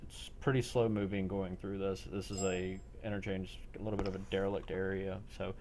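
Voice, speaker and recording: Male game master, low voice, matter-of-fact improvised narration, audible background noise. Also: little pitch movement, a little fast, a little mellow. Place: online group game session.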